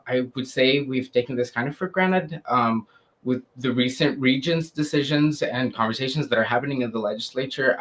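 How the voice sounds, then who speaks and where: A man's voice: mid-range voice, poor audio. A young man from Syria answering committee questions, earnest and hesitant, with many fillers. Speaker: student applicant.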